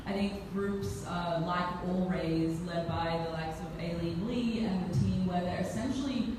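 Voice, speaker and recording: Measured woman, low voice, echoey hall. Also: over music. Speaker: panelist, investor. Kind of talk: panel discussion, explaining. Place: event hall. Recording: echoey hall, distant mic, background noise.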